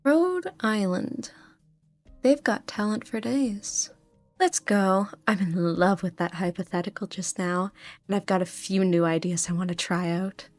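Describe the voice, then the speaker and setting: A woman voicing a sly, confident female commander in an amateur fan dub, teasing and unhurried. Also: medium pitch, a little mellow, soft background music, natural voice.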